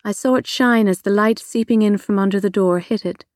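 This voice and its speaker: A woman's voice: mid-range voice, fairly even intonation, fairly quick, darkish tone, dry recording, clean studio sound. A woman reading scripted first-person fiction as an audiobook narrator. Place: close, dry studio recording.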